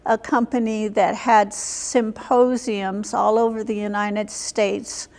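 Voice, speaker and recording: An older woman, in her seventies or beyond, recalling her life as an interview guest in a plain, halting way. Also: medium pitch, level tone, a little mellow. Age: 70s or older. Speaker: interview guest, military veteran. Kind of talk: reminiscing in an unscripted interview. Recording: long hall reverb.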